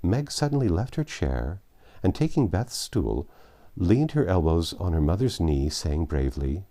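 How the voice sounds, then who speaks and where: A man's voice: sits low, big pitch swings, unhurried, warm tone. A man narrating a classic novel expressively as an audiobook reader.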